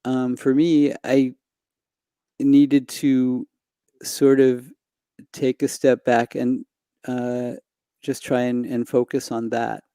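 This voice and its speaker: Slow, mellow-voiced hesitant man. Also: medium pitch, flat delivery, clean tone, dry recording. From Los Angeles, United States. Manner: hesitant, many fillers and restarts. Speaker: singer guest. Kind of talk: reflective conversation. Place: home room indoors. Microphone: headset and desk mic on video call.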